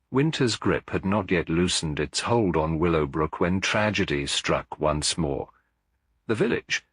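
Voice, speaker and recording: A man narrating a scripted mystery story in a measured, literary storytelling manner. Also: low voice, fairly bright tone, slightly rough, dry recording, clean studio sound. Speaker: audiobook narrator.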